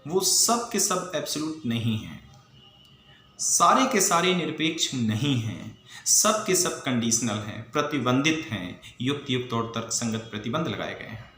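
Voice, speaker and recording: A man's voice: medium pitch, very animated, unhurried, bright, forceful tone. An Indian man teaching in an emphatic, didactic lecture style, posing questions and mixing in English legal terms. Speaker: teacher for civil service exam students. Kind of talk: explanatory lecture with rhetorical questions. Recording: echoey room with faint background noise.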